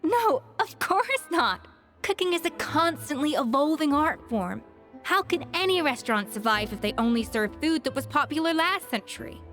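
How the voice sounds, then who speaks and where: A self-assured, exacting woman chef from a video game. The voice is fairly high, with haughty, precise diction and a lecturing manner over background music. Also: very animated, normal speed, fairly bright tone.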